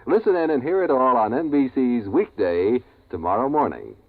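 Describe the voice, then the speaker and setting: A man's voice: medium pitch, big pitch swings, normal speed, no echo. A man reading a scripted promo as a 1950s network radio announcer, with a polished, formal delivery. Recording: tinny narrow old radio recording.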